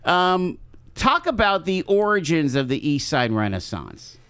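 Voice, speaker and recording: Smooth, higher-voiced male talk host. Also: sing-song, measured pace, fairly bright tone, no room sound. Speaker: radio talk show host. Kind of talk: live talk show interviewing. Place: radio studio.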